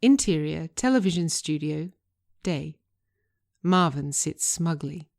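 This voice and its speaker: A woman's voice: sits low, lively intonation, slow pace, gravelly, a little mellow. A woman reading scripted screenplay narration as its writer and narrator.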